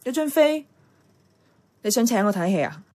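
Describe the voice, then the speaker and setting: A woman's voice: fairly high pitch, expressive, moderate pace, a little mellow. An actress playing a blunt, bossy young career woman in a radio drama, with a colloquial Hong Kong Cantonese way of speaking. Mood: surprised, admiring. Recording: clear music playing behind the voice.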